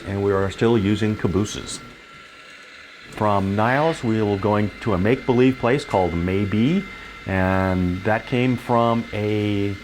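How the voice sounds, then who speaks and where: A man's voice: fairly low pitch, a little hiss or hum. An older man giving an informal, explanatory tour of a model railroad layout as its hobbyist guide.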